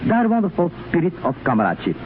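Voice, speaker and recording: A man's voice: fairly high pitch, wildly swooping pitch, fast. A man speaking as an interview guest on 1930s radio, earnest, declarative and slightly stilted. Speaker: former French Foreign Legionnaire, radio guest. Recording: scratchy, tinny 1937 radio broadcast.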